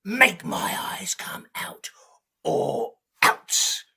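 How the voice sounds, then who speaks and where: A woman voicing a boastful, menacing jaguar in a children's story, with a breathy growl and an imperious manner. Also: mid-range voice, lively intonation, extremely slow, pushed and bright, whispered, exaggerated voice. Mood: threatening, proud. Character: menacing, boastful jaguar, big predatory jungle cat.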